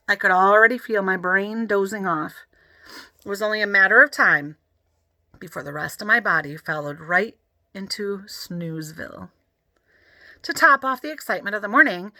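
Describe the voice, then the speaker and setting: Bright-voiced woman reading a story. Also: medium pitch, no room sound. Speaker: audiobook reader. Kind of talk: expressive children's story read-aloud.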